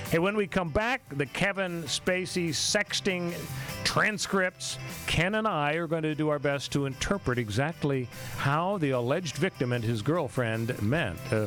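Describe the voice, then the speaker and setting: A man's voice: a little high, measured pace, music in the background. An American man hosting a radio talk show with conversational political commentary. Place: radio studio.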